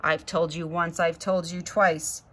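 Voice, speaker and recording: A woman's voice: lowish voice, flat delivery, unhurried, a bit bright. A woman voicing a stern mother from a storybook, scolding and nagging in singsong rhyme.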